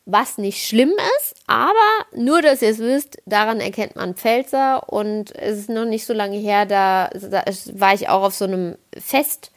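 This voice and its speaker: A young woman from the Palatinate talks into a podcast mic with a faint Palatinate accent. She chats in a loose, self-mocking way, often starting a sentence over. Her voice sits at an ordinary pitch for a woman. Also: a little slow, hardly any pauses. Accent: slight Palatinate German. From Palatinate, Germany. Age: 20s. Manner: chatty and self-ironic, rambling with many restarts. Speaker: podcast host. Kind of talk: casual podcast monologue. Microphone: podcast microphone.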